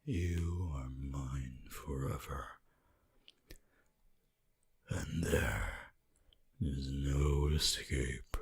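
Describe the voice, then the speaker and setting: Super deep breathy vampire, possessive n creepy seductive, slow asmr whispering. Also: male voice, heavily acted. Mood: possessive, menacing. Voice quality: breathy, husky, often whispering. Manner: slow, theatrical, archaic phrasing.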